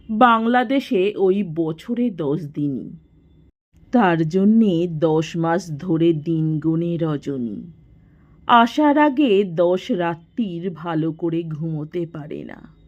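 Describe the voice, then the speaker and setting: Slow, low-voiced woman storyteller. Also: animated, darkish tone, dull audio. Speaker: audio story narrator. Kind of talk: literary story narration.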